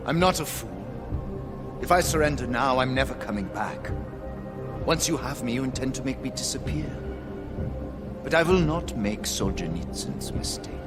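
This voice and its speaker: An actor playing a solemn, defiant activist leader in a video game, speaking in a measured, earnest, oratorical way. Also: male voice, medium pitch, animated, natural voice. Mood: resolute. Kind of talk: video game cutscene acting. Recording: game cutscene under loud music.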